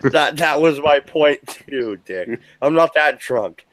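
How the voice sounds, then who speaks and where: A man's voice: a little high, expressive, slow, fairly bright tone, dry recording. An American man on a live stream, tipsy and slurring a little, swearing and joking in casual banter. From United States.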